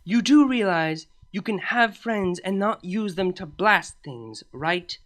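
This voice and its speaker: A woman's voice: mid-range voice, expressive, takes it slowly. A woman voicing a weary, regal pony princess and mentor in a comic storybook, exasperated, sardonic and dramatic.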